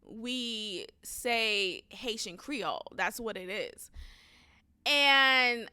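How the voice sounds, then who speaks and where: A young woman podcast host telling a story in a casual, emphatic and animated way, often swearing. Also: a little high, extremely slow, clean tone, no room sound, clean studio sound. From United States.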